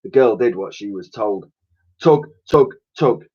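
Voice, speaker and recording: A man's voice: on the low side, expressive, a little slow, darkish tone, breathy edge, muffled recording. A man reading a children's story aloud like a teacher, with theatrical, suspenseful narration and emphatic sound effects spoken by mouth.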